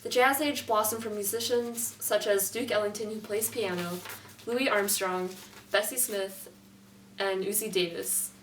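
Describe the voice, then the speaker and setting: A woman's voice: medium pitch, steady tone, a little slow, lightly acted. Woman as echoey 1920s news correspondent. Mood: matter-of-fact. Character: female 1920s newscast music correspondent. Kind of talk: scripted amateur mock newscast. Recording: roomy distant mic, faint noise.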